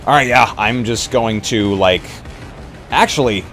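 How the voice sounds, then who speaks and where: Low-voiced man playing a tabletop roleplay. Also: unhurried, a bit bright, soft background music. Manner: sweary, reactive, thinking aloud. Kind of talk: casual game chat.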